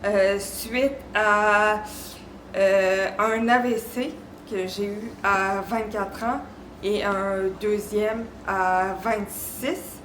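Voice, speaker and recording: Slow, halting Québécois woman in her thirties. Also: mid-range voice, steady tone, fairly bright tone. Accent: Québécois French. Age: 30s. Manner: halting, with long pauses from aphasia. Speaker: panelist. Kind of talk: personal testimony to an audience. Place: echoey room before live audience. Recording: roomy echo, faint background noise.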